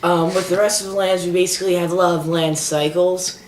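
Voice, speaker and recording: Man, congested, casual, quick yeahs and mm-hmms, roomy echo, faint hiss. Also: sits high, level tone. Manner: casual, quick agreeing interjections. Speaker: podcast co-host. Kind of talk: casual card-design chat. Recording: echoey room with faint noise.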